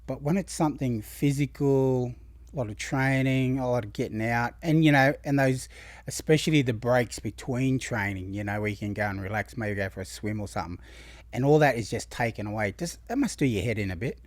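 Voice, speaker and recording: A man's voice: medium pitch, a little fast, dry recording. An Australian man hosting a podcast, upbeat and chatty, asking long rambling questions. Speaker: podcast host. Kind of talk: casual interview hosting.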